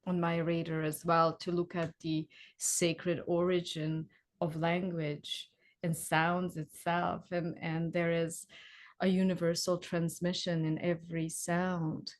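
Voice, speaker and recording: A woman whose first language is Hungarian, interviewing a guest in a thoughtful, unhurried way. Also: on the low side, flat delivery. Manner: unhurried, thoughtful, soft backchannels. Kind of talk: interview questions.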